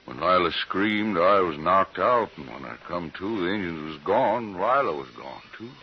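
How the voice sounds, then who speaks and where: Grim homesteader, Western American drawl, deep voice, halting folksy speech, radio western, noisy dull vintage recording. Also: male voice, expressive. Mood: defensive, weary. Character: homesteader Jim, grim slow-drawling frontier man. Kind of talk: 1950s radio western acting.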